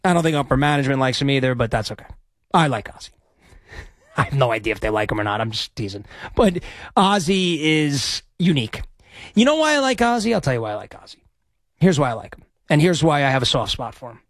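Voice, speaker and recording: A man hosting sports talk radio in a studio, with a high-pitched voice and an opinionated, cocky, braggadocious way of talking. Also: sing-song, fast, no room sound.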